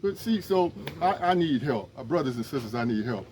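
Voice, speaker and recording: A man's voice: mid-range voice, lively intonation, a little fast, a little mellow. A man talks straight to his viewers in a mid-range voice and asks them for help. He rambles, restarts and pleads, earnest and direct. A faint background noise sits behind him outdoors. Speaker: emergency management instructor. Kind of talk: casual direct-to-camera appeal.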